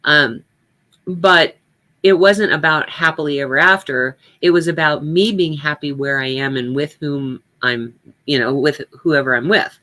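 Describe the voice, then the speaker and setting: A woman's voice: sits low, measured pace, pushed and bright. An older woman giving a reflective personal talk, speaking candidly and conversationally with emphasis on her points.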